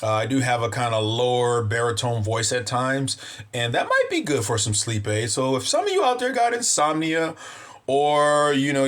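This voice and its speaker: A man hosts his own video channel and chats casually with his audience in a mid-range voice. He talks in an upbeat, grateful way and rambles, leaning on "you know" between thoughts. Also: mid-range voice. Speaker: vlog host. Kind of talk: casual chat to viewers.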